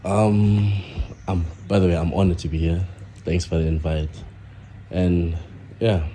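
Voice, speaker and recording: A young man from South Africa, a guest on a podcast, talking casually with halting starts and repeated words. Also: low voice, flat delivery, dark tone, slight background noise. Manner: halting, repeats himself, many fillers. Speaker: guest, content creator.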